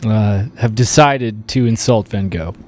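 A man's voice: on the low side, a little slow, a little hiss or hum. A man hosting a podcast with casual, teasing, joking banter. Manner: animated, teasing. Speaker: podcast host.

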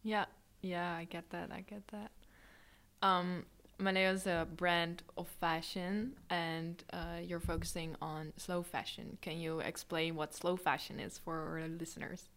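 Low, even-toned woman interviewer. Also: measured pace. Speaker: interviewer, podcast host. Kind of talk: interview questions.

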